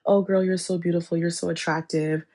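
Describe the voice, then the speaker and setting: A woman hosting her channel, raspy from a cold, giving advice in a measured lecturing way that lists points one by one. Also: on the low side, little pitch movement, dark tone. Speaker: channel host. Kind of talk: advice monologue to camera.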